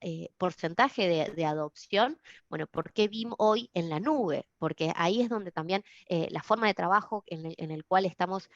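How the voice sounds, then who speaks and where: A woman's voice: lowish voice, moderate pace, no echo, highs cut off. A woman from Argentina giving a webinar presentation, speaking with many fillers and restarts. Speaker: software company presenter.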